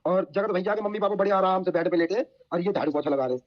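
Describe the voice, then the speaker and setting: Dull lo-fi call, fast high-pitched man. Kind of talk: informal gossip storytelling on a recorded call.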